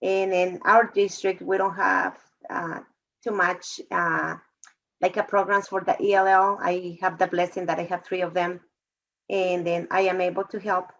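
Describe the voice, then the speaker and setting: A woman's voice: lowish voice, flat delivery. Woman teacher on a call. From Mexico. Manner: warm, emotional, halting phrasing. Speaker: fourth grade teacher. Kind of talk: video call discussion. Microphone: video call. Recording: dull lo-fi video call audio.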